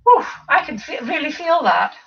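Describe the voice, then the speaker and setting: A woman over fifty with a croaky voice, leading a seated exercise class, counting reps and adding chatty asides. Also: sits high, very animated, normal speed, a bit bright. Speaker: fitness instructor. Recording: distant mic, dull lo-fi sound.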